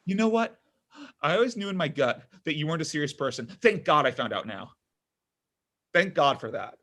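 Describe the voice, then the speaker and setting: A man's voice: fairly high pitch, lively intonation, fairly quick, a bit bright. A man playing a self-absorbed, needy young man in a comedy table read, melodramatic and whiny. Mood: indignant, hurt.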